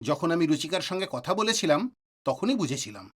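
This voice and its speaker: Male doctor, middle-aged, knowing and reassuring played by an actor; lively intonation, audio drama. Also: fairly high pitch, brisk, husky, heavily acted. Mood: grave, explanatory. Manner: explanatory, authoritative, mixes in English words.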